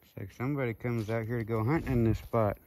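Male outdoor vlogger, medium pitch, winded from walking, casual explorer narration, noisy handheld recording. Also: steady tone, fast, a little mellow. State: out of breath from walking. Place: outdoors in woods and hillsides.

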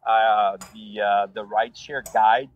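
A man from Brazil with a Brazilian Portuguese accent, a rideshare driver telling his story in a relaxed, rambling way with many fillers. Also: lowish voice, a little slow. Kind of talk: casual interview storytelling.

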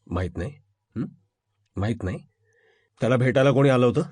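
A man's voice: medium pitch, fast talker, darkish tone, slightly rough, dry recording, studio quality, voice pushed down, lightly acted. Guy doing an angry cop boss in a crime audio drama, menacing, barks short orders. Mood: menacing, restrained anger. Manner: menacing, barking short repeated orders and questions. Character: furious, commanding male police officer interrogating his subordinates.

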